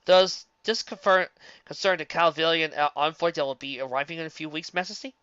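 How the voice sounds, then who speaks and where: A man voicing an earnest young male guard captain who talks respectfully, often halting and anxious. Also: on the high side, pushed and bright, clean tone, dry recording, dull audio. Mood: worried.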